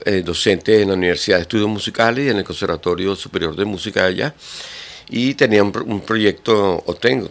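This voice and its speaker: A middle-aged man with a Venezuelan accent, a guitar teacher speaking as a guest in an interview, pausing often with fillers and restarts. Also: deep voice. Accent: Venezuelan Spanish. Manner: hesitant, many fillers and restarts. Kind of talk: radio interview.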